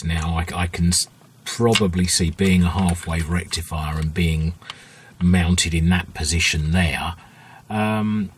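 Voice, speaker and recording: A man with a low-pitched, rough-edged husky voice talks through a repair job informally. He sounds like a hobbyist at the bench, thinking aloud and feeling the way through each explanation without hurry. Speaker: hobbyist radio restorer, video host. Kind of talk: informal workbench tutorial, explaining as they go.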